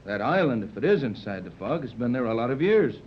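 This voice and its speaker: An actor playing a confident American adventurer-inventor in a 1930s radio serial, measured, authoritative and fatherly. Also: mid-range voice, sing-song, natural voice. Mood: firm, practical. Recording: muffled, noisy 1930s radio recording.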